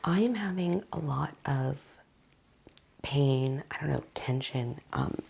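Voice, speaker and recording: A woman playing a mild, cooperative patient with a sore back in an ASMR roleplay, answering in a hushed, hesitant way. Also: very deep voice, slow, vocal fry, slightly rough, heavily acted. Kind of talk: ASMR medical roleplay, soft-spoken.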